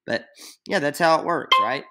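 A man's voice: on the high side, expressive, moderate pace, bright, forceful tone. A young man explaining a draft on screen in a casual, rambling way, full of fillers, recorded at his computer in a small room. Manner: rambling, filler-heavy, thinks aloud. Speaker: fantasy league tutorial maker. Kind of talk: casual screen-share tutorial.

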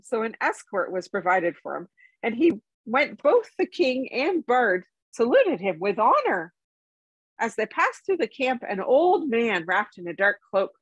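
Middle-aged woman reading a story, lively pitch. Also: husky, no room sound, rough recording. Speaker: story reader. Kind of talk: bedtime story reading, narration.

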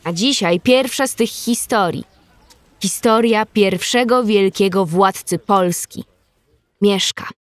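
A woman narrating a scripted history story for children, measured and addressing her young listeners directly. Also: medium pitch, lightly acted. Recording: music under the voice.

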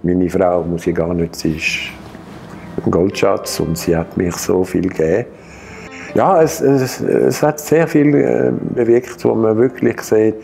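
A man in his sixties from Geneva with a French-speaking Swiss accent, French his first language, telling his own story to camera in a halting way with many hesitations and restarts. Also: lowish voice, fairly even intonation, creaky, warm tone, soft background music. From Geneva, Switzerland. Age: 60s. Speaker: patient sharing his experience. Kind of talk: personal account to camera. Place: bright studio room, indoors.

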